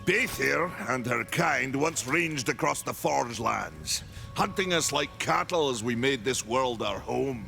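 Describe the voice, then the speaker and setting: A man playing a proud, stern old warrior in a fantasy video game, gravelly, with slow, weighty, archaic speech. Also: mid-range voice, expressive, sharp tone, faint music behind, exaggerated voice. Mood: grave, brooding. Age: older. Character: proud old male warrior maker, stern, battle-worn. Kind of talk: video game cutscene acting.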